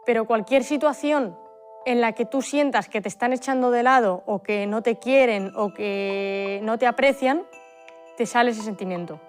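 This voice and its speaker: A woman adopted from Russia speaking candidly and reflectively in a documentary interview. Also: on the high side.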